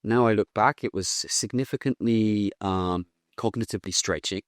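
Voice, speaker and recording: Blunt, swearing British man with a deep voice. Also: moderate pace, no room sound, studio quality. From Britain. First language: English. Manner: blunt, animated, swears casually. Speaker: guest. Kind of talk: casual conversational interview.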